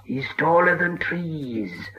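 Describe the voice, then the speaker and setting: A man's voice: fairly high pitch, lively intonation, slow pace, bright, forceful tone, muffled recording, voice pushed up, heavily acted. A man voicing a sly, hissing cave creature who whispers and wheedles as he talks to himself. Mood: wheedling.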